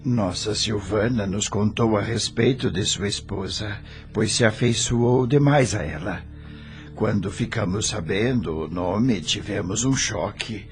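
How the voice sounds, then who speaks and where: A man playing a courteous middle-aged father in an audio drama, formal, deliberate and sincere. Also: lowish voice. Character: courteous, grave adoptive father Nelson, solemn and sincere. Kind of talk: audio novela acting.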